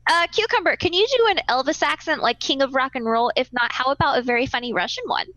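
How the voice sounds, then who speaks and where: A woman's voice: sits high, quick pace, pushed and bright, no echo, muffled recording. A woman hosting casually on a livestream. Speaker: host reading out donations.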